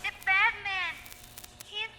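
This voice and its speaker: A woman's voice: very high voice, steady tone, slow. A woman playing a helpless little ghost girl in an audio horror drama, whimpering and pleading. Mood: terrified. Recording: distant, clearly noisy with clicks.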